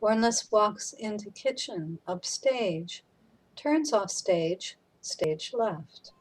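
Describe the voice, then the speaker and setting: A woman's voice: medium pitch, animated, drawn-out words, rough recording. A woman narrating scripted stage directions for a radio drama.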